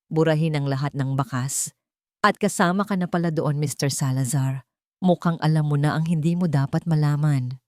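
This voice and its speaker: A woman's voice: deep voice, normal speed, dry recording, studio quality, lightly acted. A woman voicing a cold, smirking male leader of armed thugs, mocking and menacing. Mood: cold menace.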